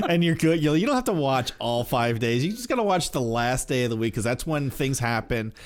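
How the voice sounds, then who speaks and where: A man's voice: medium pitch, sing-song, moderate pace. A man co-hosting a podcast, talking about pop culture in an informal, joking, conversational way. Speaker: podcast co-host. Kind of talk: casual pop-culture chat.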